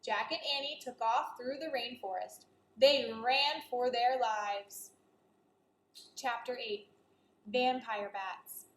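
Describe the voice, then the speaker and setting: A woman's voice: fairly high pitch, slow, reverberant, low-quality recording. A woman teacher reading a children's story aloud, expressively and speaking directly to her young listeners.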